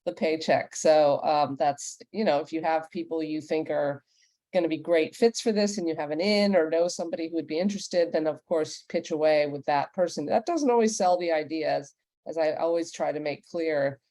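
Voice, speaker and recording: A woman's voice: sits low, normal speed, warm tone, no echo. A woman hosting and moderating a panel discussion, speaking in a conversational way. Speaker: host and moderator.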